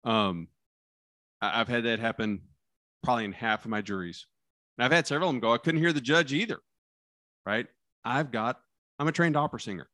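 A man who is a criminal defense lawyer talking casually about trial practice, with a loud, carrying voice, acting out courtroom lines and dropping his voice low for effect. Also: a little fast, long pauses, dry recording, studio quality. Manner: animated storyteller, acts out courtroom lines, drops voice low for effect.

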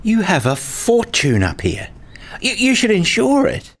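A man's voice: high voice, wildly swooping pitch, a little slow, full character voice. An actor playing an enthusiastic, curious grown man who narrates his visit in a scripted radio play. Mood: excited, amazed. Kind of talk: scripted first-person narration and acted dialogue.

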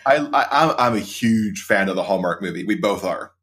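A man's voice: lowish voice, fairly quick, slightly rough. A man appearing as a guest on a podcast, talking eagerly in a casual interview. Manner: eager, excitable. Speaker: podcast guest, co-host of another show. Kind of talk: conversational interview.

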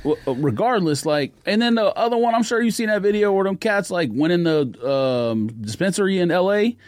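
A man's voice: high-pitched, lively intonation, brisk, darkish tone, no echo. A man with an American accent ranting casually as a podcast co-host, blunt, slangy and emphatic. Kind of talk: casual chat, opinionated ranting.

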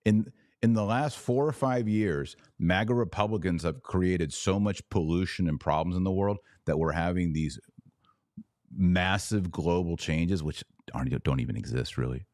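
A man hosting a podcast, giving sarcastic, animated commentary and now and then leaping to high, incredulous exclamations. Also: no echo, clean studio sound. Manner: slow deliberate Latin prayer recitation. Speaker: podcast host.

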